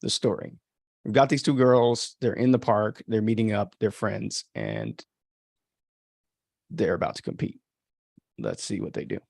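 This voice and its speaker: A man's voice: lowish voice, expressive, measured pace, creaky, long pauses, darkish tone, dry recording, studio quality. A man from the United States giving casual, opinionated, rambling livestream commentary as a podcast host. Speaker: podcast host and author commenting on own story.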